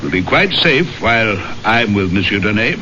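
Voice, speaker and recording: An actor playing a big, hearty, placid aristocrat and hunter in a 1970s radio drama, genial and clipped. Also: male voice, fairly low pitch, sing-song, moderate pace, pushed and bright. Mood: hospitable. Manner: genial, clipped upper-class speech. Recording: dull, noisy old radio broadcast.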